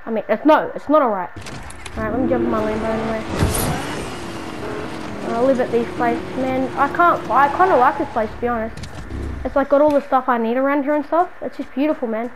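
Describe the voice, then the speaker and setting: A woman's voice: sits high, fairly quick, noisy background, close to an everyday voice. A woman playing an exasperated, slangy city dweller hounded by a stalker friend in an improvised video game roleplay. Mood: casual muttering. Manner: slangy, muttering to self, then scolding.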